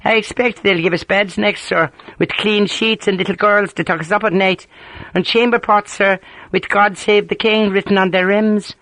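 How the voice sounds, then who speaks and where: Casting note: Ulster sergeant voiced by a man, deadpan wit, pitched up high, close dry read. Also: moderate pace, no echo, rough recording. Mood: amused. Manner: deadpan, dryly amused. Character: wry Irish army sergeant, deadpan joker.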